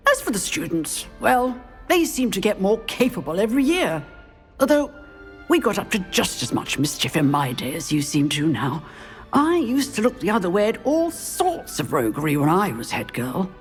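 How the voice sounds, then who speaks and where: A woman's voice: medium pitch, big pitch swings, normal speed, soft background music, natural voice. An actress playing an older witch professor in a video game, poised, formal and wry, with a British accent. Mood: wry, reminiscing. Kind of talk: video game character acting.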